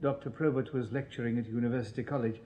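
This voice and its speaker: An actor playing a pompous, snobbish Victorian police inspector in a radio drama, with an upper-class English accent and formal, condescending delivery. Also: male voice, medium pitch, steady tone, quick pace, highs cut off, close to an everyday voice. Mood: officious. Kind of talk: 1980s British radio drama acting.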